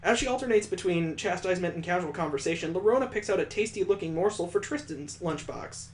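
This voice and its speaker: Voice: mid-range voice, expressive, fast, a little hiss or hum. Casual gamer reading a story aloud. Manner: casual asides and joking remarks between read passages. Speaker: host reading a visual novel aloud. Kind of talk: let's play narration, reading aloud.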